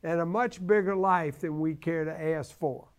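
A man in his seventies with a creaky voice, giving conversational religious teaching in a halting way, repeating phrases for emphasis. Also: on the high side, dull audio. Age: 70s.

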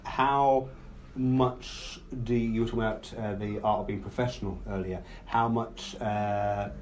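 A man's voice: fairly low pitch, fairly even intonation, a little slow, darkish tone, slight background noise, poor audio. A man hosting a podcast, asking long questions hesitantly while he searches for words. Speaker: podcast host. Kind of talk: interviewing.

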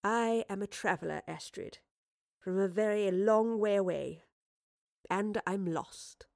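A woman playing an earnest, urgent female professor and time traveller in an audio drama, speaking intensely in halting phrases. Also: mid-range voice, vocal fry, darkish tone, no echo, clean studio sound. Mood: grave realisation.

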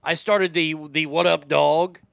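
A middle-aged radio host with a high, bright voice and a gravelly edge tells profane stories in a casual, animated way. The sound is close and dry, with no room around it. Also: male voice, unhurried, dry recording. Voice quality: high voice without much bass. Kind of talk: casual storytelling podcast.

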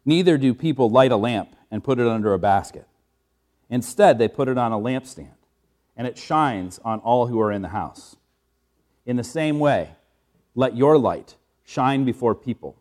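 A pastor with a fairly low voice talks the congregation through the passage in a relaxed, conversational way. When he reads the gospel, his delivery becomes measured and solemn. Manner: conversational explaining, then measured solemn reading. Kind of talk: sermon introduction and gospel reading. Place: church sanctuary.

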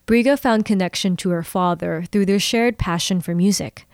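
A woman's voice: mid-range voice, moderate pace, a little mellow, dry recording. A woman hosts a podcast with a conversational storytelling delivery. Her mid-range voice is recorded close and dry, with no room sound around it. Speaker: podcast host. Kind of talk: narration and co-host chat.

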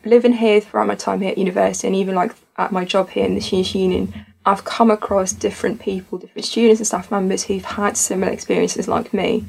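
A woman's voice: mid-range voice, flat delivery, fast talker, gravelly, darkish tone, breathy edge, rough recording. A young woman from Tunisia, a student society founder, talking earnestly and reflectively in a podcast conversation.

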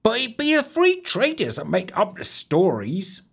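A nervous young barmaid with a burr and rustic speech, as a man puts her on in a high falsetto. Her voice swoops up and down as she frets, and she speaks slowly and carefully. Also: huge pitch swings, gravelly, fairly bright tone, slightly rough, full character voice. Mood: fearful.

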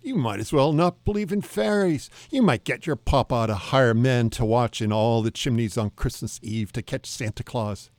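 A man reads aloud in an earnest, theatrical style, with emphatic phrasing suited to a sentimental old letter. His pitch is medium for a man. The recording is close and dry, with very little room sound. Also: moderate pace, few pauses, no room sound. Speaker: guest reader on a radio show.

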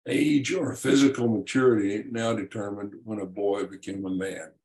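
A man, an author and podcast guest, telling and explaining a historical story in a measured, deliberate way. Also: on the low side, steady tone, darkish tone, poor audio. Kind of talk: reading his own historical story and explaining it.

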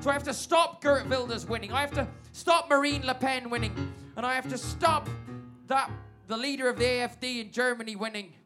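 A man's voice: very high voice, a little fast. Casting: hesitant male improviser, lots of ums, music playing behind. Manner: hesitant, full of ums and restarts. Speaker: comedy improviser, interviewer. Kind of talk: improvised comedy sketch.

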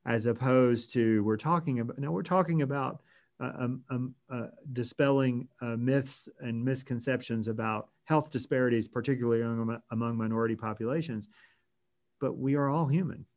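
A man of medium pitch hosts an interview and talks in steady, measured sentences. He sometimes restarts a phrase or pauses on an "um." His delivery stays conversational. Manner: measured, with some restarts and filler "um"s. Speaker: host from a hospice organization.

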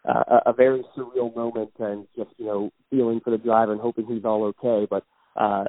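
A man's voice: fairly low pitch, flat delivery, fairly quick, dry recording. Chatty man on a tinny phone line. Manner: chatty, hesitant, with many fillers. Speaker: guest, racing fan. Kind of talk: casual phone interview.

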